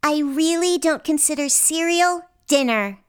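A woman's voice: high voice, measured pace. An actress playing an earnest, precocious eight-year-old girl in a radio play, polite and precise.

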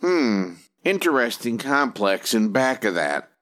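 A man acting as a stuffy, officious company examiner. He is nervous and easily irritated, with a mid-pitched, lively voice in a comic radio play. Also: a little slow. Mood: pompous. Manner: officious, pompous, clipped questioning.

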